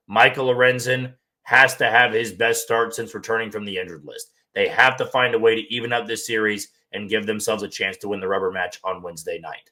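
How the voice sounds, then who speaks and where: A man hosting a sports podcast with a hoarse voice that he is losing, giving frank, plain opinions. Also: mid-range voice, bright, forceful tone. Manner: talks straight through with frank, plain opinions. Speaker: podcast host.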